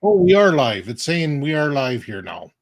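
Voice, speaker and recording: A middle-aged man hosting a live online interview, chatty and a bit halting over a video call. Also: on the high side, sing-song. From Canada. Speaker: host.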